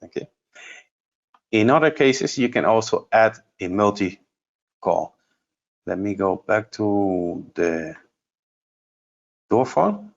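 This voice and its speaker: A man giving an unscripted software demonstration as a trainer, explaining step by step and thinking aloud in a halting way. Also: fairly low pitch, expressive, vocal fry, muffled recording. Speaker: trainer presenting a product course.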